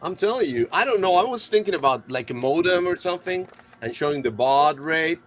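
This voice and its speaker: A man in casual show-and-tell chat, good-humoured, halting and repeating his words as he explains. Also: on the high side, lively intonation, fairly bright tone. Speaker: hobbyist guest on the stream. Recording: faint noise, room ambience.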